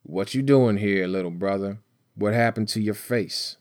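A man voicing a wiry, sickly, menacing older gun dealer in an audiobook, rough and raspy, with blunt street slang. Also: lowish voice, moderate pace, a little mellow, no room sound, studio quality, close to an everyday voice. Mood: probing, cool.

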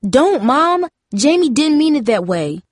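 A woman's voice: fairly low pitch, expressive, unhurried, a little mellow, dry recording, clean studio sound. A woman voicing a worried teenage girl in an audiobook, an earnest peacemaker who pleads. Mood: alarmed, pleading.

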